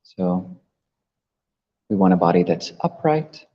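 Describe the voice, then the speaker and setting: A man leading a guided meditation as a teacher, gently instructing with long pauses between phrases. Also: low voice, lively intonation. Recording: muffled, long reverberant hall echo.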